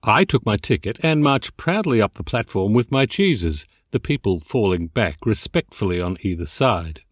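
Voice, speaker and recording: A man reading a comic literary story as an amateur narrator, theatrical and expressive with mock dignity. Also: fairly low pitch, moderate pace, no echo. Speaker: amateur voice actor reading an audiobook excerpt.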